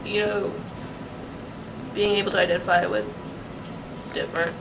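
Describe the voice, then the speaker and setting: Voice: mid-range voice, level tone, normal speed. A young person answering interview questions in an easygoing way, often laughing. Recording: distant mic with loud background noise.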